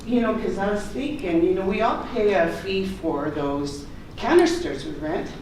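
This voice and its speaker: A woman with a loud voice giving informal public comment as a resident, plain-spoken and direct. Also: medium pitch, lively intonation, moderate pace, recorded from a distance. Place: council chamber at city hall. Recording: echoey meeting room from afar, clear noise.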